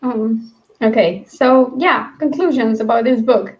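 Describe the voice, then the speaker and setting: A woman's voice: a little high, unhurried, a little mellow, muffled recording. A woman hosting a livestream book discussion in a casual, rambling, chatty way. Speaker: host of a book read-along livestream.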